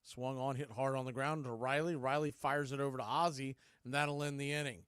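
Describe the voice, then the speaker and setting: A man's voice: medium pitch, fairly even intonation, fairly quick, fairly bright tone, smooth, no room sound, studio quality. An American man calling live baseball play-by-play as an announcer.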